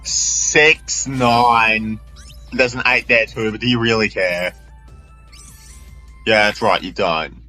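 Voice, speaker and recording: A man's voice: fairly low pitch, takes it slowly, long pauses, pushed and bright. Sweary, rambling man over music. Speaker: video creator. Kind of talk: unscripted gameplay commentary.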